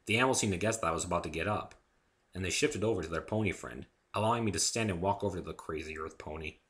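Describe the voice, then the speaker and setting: A man's voice: low-pitched, fast talker, fairly bright tone. A man giving a dramatic reading of his own first-person story as narrator.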